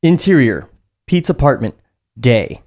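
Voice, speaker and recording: A man's voice: medium pitch, very animated, measured pace, a little mellow, soft background music. A man hosting a comedy podcast, talking casually and matter-of-factly. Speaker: podcast host. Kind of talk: casual comedic chat, reading script aloud.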